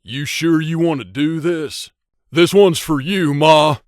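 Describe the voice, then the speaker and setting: An actor playing a loyal, wry gunslinger companion in a video game, talking casually and familiarly. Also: male voice, fairly high pitch, slow, dry recording, clean studio sound. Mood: combative. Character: loyal wasteland gunslinger companion man, wry, devoted to his mother.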